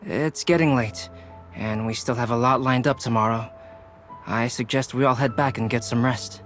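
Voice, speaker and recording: A man plays an easygoing young artist in a game. He is courteous and mildly playful, at a medium pitch, with faint music behind him. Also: fairly even intonation, moderate pace, fairly bright tone, close to an everyday voice. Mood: friendly, relaxed. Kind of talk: video game character voice acting.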